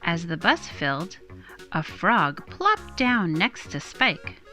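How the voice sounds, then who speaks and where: A woman reads a picture book aloud in a bright, expressive voice. Her phrasing is deliberate and dramatic, very slow, over faint music. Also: fairly low pitch. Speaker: storyteller. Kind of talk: expressive children's storybook read-aloud.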